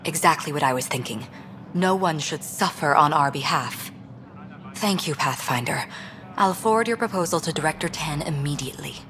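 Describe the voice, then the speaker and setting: A formal alien ambassador for a video game. Her voice is very low for a woman, composed and diplomatic. Faint ambience sits behind it. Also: lively intonation, moderate pace, fairly bright tone, a little hiss or hum. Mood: concerned, gracious. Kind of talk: video game dialogue acting.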